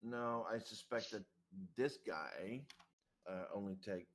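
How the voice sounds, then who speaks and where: A man's voice: on the low side, slow pace. A man chatting casually as a player in a tabletop game, a little hesitant and repeating his words.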